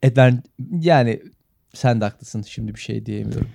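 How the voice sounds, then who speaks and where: A man's voice: medium pitch, fairly even intonation, normal speed, vocal fry, dark tone, dry recording, studio quality. A man hosting a chatty podcast, speaking in playful, tongue-in-cheek and elaborately formal phrases. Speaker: podcast host. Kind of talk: playful banter with a mock-formal radio introduction.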